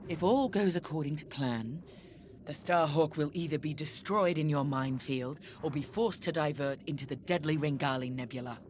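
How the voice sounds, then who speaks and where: An actress playing a cold, vengeful Imperial squadron commander in a game, speaking in a measured, imperious, menacing way. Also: female voice, low-pitched, slight background noise, lightly acted. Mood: cold, commanding. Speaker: military commander. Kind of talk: scripted game briefing. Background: music.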